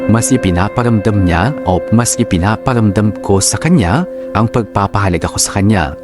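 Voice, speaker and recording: Low-voiced man narrating over music. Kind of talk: scripted first-person love-story narration.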